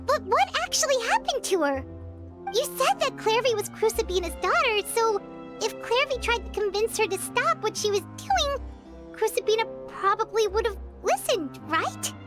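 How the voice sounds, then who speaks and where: A woman voicing a small, curious fairy-like game companion in a high, childlike voice, asking eager questions in a studio game recording. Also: sing-song. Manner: eager questioning, quick reactions. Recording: game audio with music behind.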